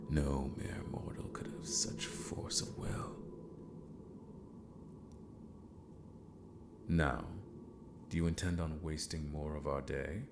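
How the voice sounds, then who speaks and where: A man playing an eccentric, possessive sorcerer mentor in a fantasy ASMR roleplay, half-whispering in a gravelly voice with formal, condescending deliberation. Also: extremely low pitch, exaggerated voice. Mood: insistent, patient.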